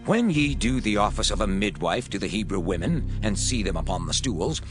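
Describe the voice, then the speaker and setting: A man's voice: lowish voice, big pitch swings, moderate pace, over music. A man playing the stern, commanding king of Egypt in a dramatized scripture reading, speaking in a proclamatory way.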